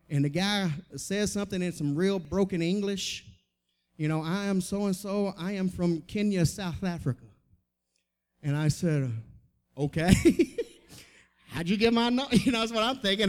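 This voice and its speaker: A man's voice: a little high, darkish tone. A man with a Southern American accent preaching a charismatic church sermon, folksy and colloquial, exhorting his listeners and calling on them to respond. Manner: exhortatory, with rhetorical questions and calls for response. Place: church, preaching to a gathered congregation.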